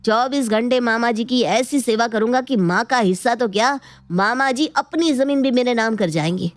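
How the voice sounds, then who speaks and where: Woman acting a sugary, scheming young niece, high-pitched. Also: normal speed, no echo, natural voice. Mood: determined, scheming. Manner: sugary, ingratiating. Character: competitive, scheming young niece, sweetly flattering. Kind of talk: animated Hindi moral story dialogue.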